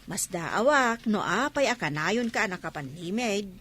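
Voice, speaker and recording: A woman's voice: mid-range voice, animated, measured pace, a bit bright, slightly rough. A woman playing a nosy, chatty village gossip in a comedy radio drama, teasing and exclamatory. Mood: prying.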